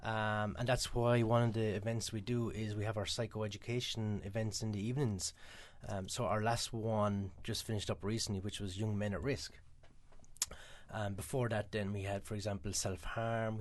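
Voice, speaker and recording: This is an Irish man speaking as a guest on a radio interview. His voice sits a little low, and he talks fast and chattily, filling gaps with "um" and "uh". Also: flat delivery. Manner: quick, chatty, many "um" fillers. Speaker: guest from a mental health charity.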